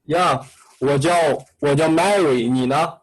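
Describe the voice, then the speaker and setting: Chinese-accented guy teaching a call class, kinda high voice, keeps nudging students. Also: measured pace, rough recording. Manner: repetitive, coaxing prompts in broken English. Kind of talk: online language class.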